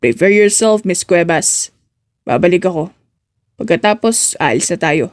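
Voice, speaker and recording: Woman putting on a low, seductive male boss. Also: expressive, normal speed, close to an everyday voice. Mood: commanding. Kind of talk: romance audiobook character acting.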